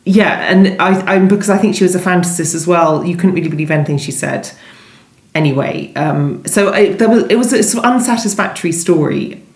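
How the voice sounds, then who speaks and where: A woman's voice: fairly low pitch, a little fast. A woman novelist being interviewed, talking conversationally and thinking aloud, often hesitating and rephrasing. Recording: roomy, echoey sound.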